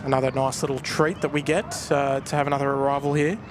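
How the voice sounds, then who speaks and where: A man's voice: medium pitch, flat delivery, quick pace. A man with an Australian accent hosting a live stream, chatting casually outdoors while thanking viewers. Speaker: live stream host and plane spotter. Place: outdoors at an airport viewpoint. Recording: outdoor noise with traffic and aircraft.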